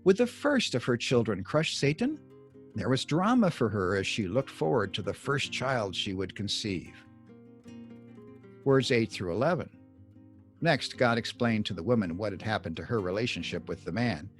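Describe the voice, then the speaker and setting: A man reading scripted Bible teaching like an audiobook author, deliberate and emphatic, with measured pauses. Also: on the low side, very animated, soft background music. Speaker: author reading own book excerpt.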